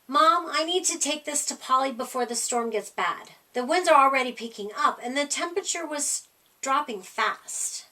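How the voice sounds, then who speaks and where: An earnest, cautious boy frog from a children's storybook, read by a woman. The voice is bright and rather high, with an insistent delivery. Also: animated, normal speed, far from the mic. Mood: urgent. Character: earnest, cautious young male frog weather forecaster.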